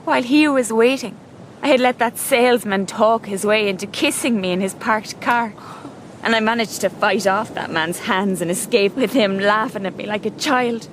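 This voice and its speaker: Anguished young Irish woman, higher voice, acted. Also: background noise, lightly acted. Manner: anguished, obsessive, emotional. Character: grief-stricken, guilt-ridden young Irish woman. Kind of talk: radio drama acting.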